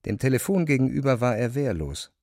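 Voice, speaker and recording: A man's voice: mid-range voice, lively intonation, fairly quick, a little mellow, dry recording, clean studio sound. A man reading scripted literary narration as the narrator of an audio drama.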